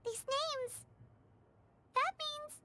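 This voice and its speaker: A woman voicing a small, excitable girlish fairy companion in a video game, with a squeaky childlike voice and expressive delivery. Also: extremely slow, heavily acted. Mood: alarmed, worried. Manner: expressive, refers to herself by name. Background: game music.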